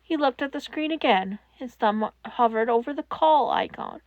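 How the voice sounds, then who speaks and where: A woman reading fan fiction aloud as audiobook narration, in a slow, measured way. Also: on the high side, huge pitch swings, gravelly, dry recording, muffled recording. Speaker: podfic reader.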